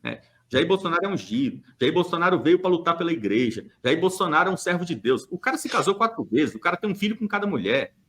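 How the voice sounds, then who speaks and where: A man's voice: on the high side, sing-song, quick pace, hardly any pauses, a bit bright. A man from Brazil speaking on a church podcast, making an emphatic, argumentative case with rhetorical questions and hesitant fillers. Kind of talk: opinionated podcast monologue.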